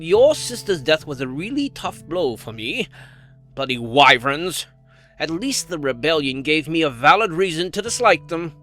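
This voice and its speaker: A man voicing a cocky, flippant dragon assassin and family dad in an animated fantasy series, with sarcastic, stammering banter. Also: fairly high pitch. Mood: bitter.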